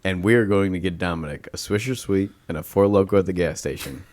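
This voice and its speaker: Man, 30s, podcast host, lowish voice, casual, profane, laughs a lot. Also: quick pace, a little mellow. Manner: loose, profane, laughs often.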